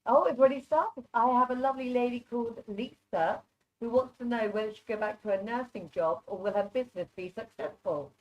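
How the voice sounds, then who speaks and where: A woman's voice: fairly high pitch, brisk. A woman with a mixed English and Norwegian accent giving informal live psychic readings in a chatty, direct, reassuring way. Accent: mixed English with Norwegian influence. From born in England, grew up largely in Norway. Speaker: psychic card reader, guest presenter. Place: online video call. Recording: muffled, soft online microphone.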